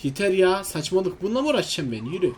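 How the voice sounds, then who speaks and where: Male gaming host, high-pitched voice, casual and exclamatory play-by-play, faint noise behind him. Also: big pitch swings, fast. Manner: exclamatory, talks to himself and to viewers. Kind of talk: casual video game commentary.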